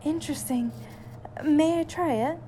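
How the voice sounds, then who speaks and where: A woman playing a caring, sensible scientist, wife and mother in an audio drama, with a gentle, earnest and expressive delivery. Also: high voice, measured pace, slight background noise. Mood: curious.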